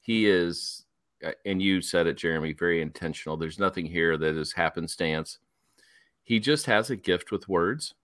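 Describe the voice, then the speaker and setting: A man's voice: low voice, moderate pace, a bit bright, no room sound, clean studio sound. A man hosting a casual music podcast, leading the segments with an upbeat manner. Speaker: podcast host.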